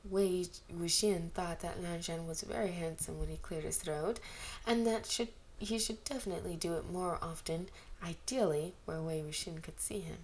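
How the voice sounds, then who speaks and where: A woman's voice: lowish voice, fairly even intonation, normal speed, a little mellow, a little hiss or hum, poor audio. Woman reading a story aloud. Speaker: amateur audiobook reader. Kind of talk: scripted narration of fan fiction.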